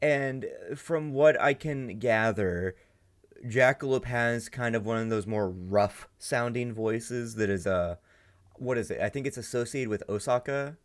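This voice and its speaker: A man's voice: medium pitch, measured pace. A young man hosting a reaction video, commenting casually and rambling as he thinks aloud. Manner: rambling, many fillers and restarts, thinking aloud. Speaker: reaction channel host.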